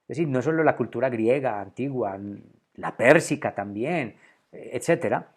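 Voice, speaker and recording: A man's voice: medium pitch, lively intonation, moderate pace, breathy edge. A man, maybe, giving a solo philosophy lecture to camera, thinking aloud, restarting phrases and repeating words as he searches for the right term. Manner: thoughtful, with frequent restarts and repeated words while searching for terms.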